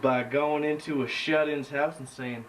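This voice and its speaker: A man's voice: fairly high pitch, steady tone, a little slow. A man reading out a quotation. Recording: clearly noisy background.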